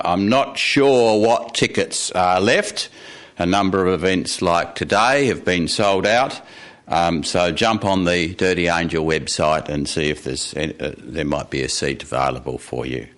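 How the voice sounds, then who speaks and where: Low-voiced male festival host. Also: a bit bright. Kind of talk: informal spoken announcements. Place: radio studio before a live audience.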